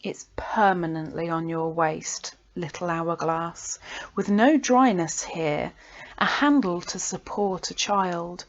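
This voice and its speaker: A woman reads poetry at a slow, deliberate pace. Her delivery is dramatic and expressive, with breathy pauses that sink toward whispers. The sound is slightly dull and lo-fi, like a home recording. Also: on the low side. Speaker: poet reading own poems.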